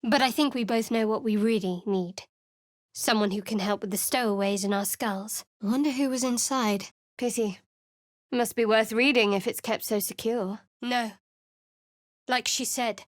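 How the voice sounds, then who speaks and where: A secretive young cleric of a night goddess, voiced by a woman, dry and sardonic, guarding every word in a video game. The voice sits a little high for a woman and is recorded close and dead dry in the studio, with no room at all. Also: steady tone, a little slow, no room sound, natural voice. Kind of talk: video game character voice lines. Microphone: close studio mic.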